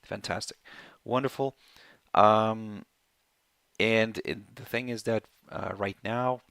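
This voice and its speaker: A man hosting a market webinar, his voice hoarse and gravelly with a low pitch. He rambles through his points, fills the pauses with ums and corrects himself as he goes. The sound is dry and close. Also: fairly even intonation, fairly bright tone, no room sound. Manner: rambling, many fillers and self-corrections. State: hoarse, voice going. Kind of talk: live market webinar commentary.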